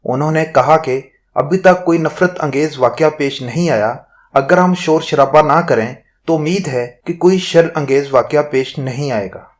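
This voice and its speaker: Higher-pitched man reading formal news. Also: normal speed. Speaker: news narrator. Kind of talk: scripted news narration.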